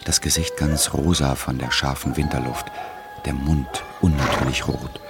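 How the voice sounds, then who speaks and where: An actor as a measured literary narrator, giving scripted narration of a period tale in a gravelly voice. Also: male voice, rumbling low, fairly even intonation, over music. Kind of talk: scripted narration in a radio play.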